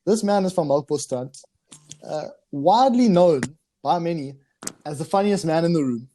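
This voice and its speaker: A man's voice: a little high, lively intonation, moderate pace, dark tone, a little hiss or hum. A young man hosting a podcast, playful and laughing often, with casual hesitant phrasing. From South Africa. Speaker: podcast host.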